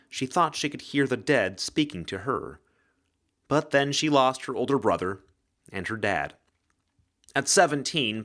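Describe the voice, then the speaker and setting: A man's voice: medium pitch, a bit bright. A man reading mystery fiction as a dramatic scripted narration. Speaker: audiobook reader, actor.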